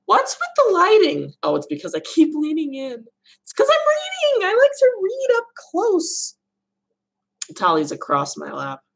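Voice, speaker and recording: A young woman with a low voice, hosting a casual live Q&A stream from her room at home, chatty and rambling. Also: wildly swooping pitch, measured pace, creaky. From Arizona, USA. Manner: chatty, rambling, laughs often. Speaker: livestream host answering viewer questions.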